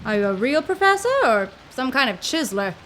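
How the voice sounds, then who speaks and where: A woman's voice: fairly high pitch, big pitch swings, a little fast, fairly bright tone, slight background noise. A woman playing a feisty, suspicious young 1930s woman in a radio comedy drama, brash and theatrical, full of period slang. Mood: exasperated, bossy.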